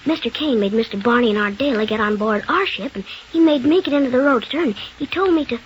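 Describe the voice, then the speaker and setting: A woman's voice: on the high side, quick pace, lightly acted. An actress playing an eager, naive, loyal young boy pilot in an old-time radio serial, chattering in an earnest, polite way. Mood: earnest, flustered. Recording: dull noisy old radio recording.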